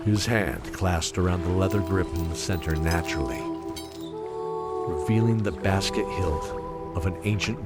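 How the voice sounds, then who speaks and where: A man narrating a fantasy story from a script in a measured, dramatic storytelling manner. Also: sits low.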